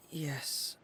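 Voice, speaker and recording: A man's voice: sharp tone, slight background noise. A man playing a shy, awkward teenage younger brother who speaks hesitantly in video game dialogue. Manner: hesitant, halting, timid. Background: music.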